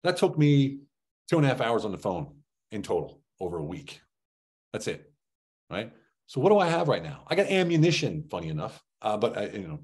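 Low-voiced man telling business stories. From Germany. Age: middle-aged. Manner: animated storytelling, acting out dialogue, punchy rhetorical questions. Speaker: business trainer. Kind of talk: motivational training talk with anecdotes. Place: indoor room, on a group video call. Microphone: video call.

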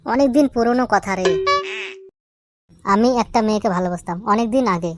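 Woman doing a lovesick stubborn young village guy, cartoon, talks fast and slangy, music behind. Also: mid-range voice, natural voice. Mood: sorrowful. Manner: coarse slangy banter. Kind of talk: comic cartoon dialogue.

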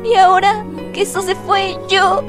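A fading child, played by a woman, with an extremely high voice and little rise or fall. The child sounds fond and resigned, speaking Latin American Spanish in a cartoon fandub over prominent music. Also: level tone, measured pace. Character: dying child, fond, resigned, softly teasing. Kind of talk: dramatic animation fandub.

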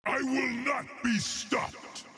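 A man playing a proud, unstoppable giant turtle warrior, booming boastfully in a video game. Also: little pitch movement, slow pace, pushed and bright, soft background music, exaggerated voice. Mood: defiant.

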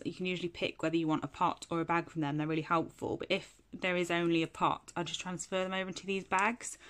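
A woman's voice: on the low side, background noise. A young woman, a nail technician and channel host, chatting casually through a tour of her home nail room, a bit sniffly from hay fever, with lots of fillers and restarts. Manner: chatty, self-deprecating, many fillers and restarts.

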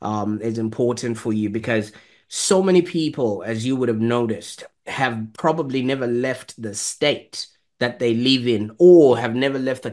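Thirties male host, video call from a home study, affirming, long-winded questions. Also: mid-range voice. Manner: affirming, rambling questions with many "you know"s.

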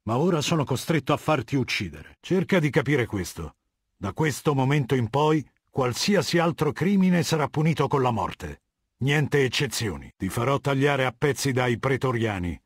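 An actor playing a ruthless middle-aged warlord dictator in a video game, speaking in an imperious, measured, menacing manner with a husky voice. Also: male voice, mid-range voice, animated, no echo, clean studio sound. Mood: cold menace. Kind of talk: dubbed video game dialogue.

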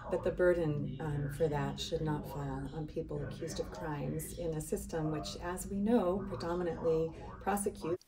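Public defender woman, low voice, street. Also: fairly even intonation, far from the mic, a crowd in the background, poor audio. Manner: firm, principled, measured. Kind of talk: street interview answers.

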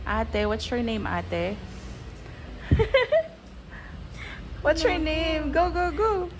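A woman's voice: sits high, very animated, drawn-out words, background noise. A woman with a Filipino accent, chatting casually in a vlog at a nail salon and giggling often. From Philippines. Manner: giggly, chatty, mixing English and Tagalog. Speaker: vlogger.

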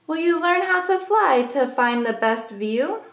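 A woman with a high-pitched voice reads a children's picture book aloud in a roomy, echoing space. She phrases the rhymes in a measured, deliberate way, as a storyteller does for young listeners. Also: expressive, fairly bright tone.

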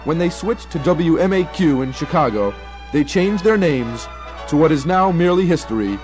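A man's voice: a little high, animated, moderate pace, darkish tone, faint music behind. A young American man reading scripted documentary narration. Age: young adult.

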